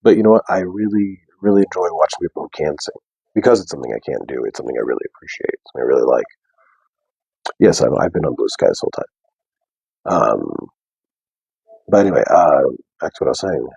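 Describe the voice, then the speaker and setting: A young man with a deep bass voice, talking softly and casually to viewers on a live stream. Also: expressive, brisk, gravelly, pauses often, husky, no echo, rough recording. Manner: soft, unprojected speech. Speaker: livestream host. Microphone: wearing a mic.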